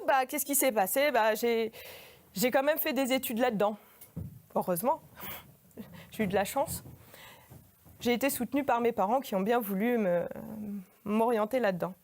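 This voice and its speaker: High-voiced woman giving a playful performance lecture. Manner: informal, hesitant, self-deprecating. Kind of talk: humorous autobiographical stage monologue. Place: in front of a live audience.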